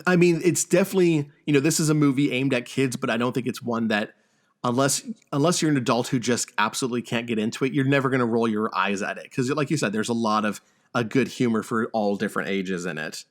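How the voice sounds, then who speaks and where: Man chatting haltingly about a movie. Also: medium pitch. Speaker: podcast host. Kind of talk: casual movie discussion.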